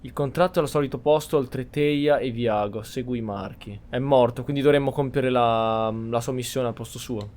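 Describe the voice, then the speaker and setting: A man from Italy with a medium-pitched voice and very quick speech. He hosts a gameplay session, commenting and roleplaying as he goes. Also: a little mellow. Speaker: gamer, channel host.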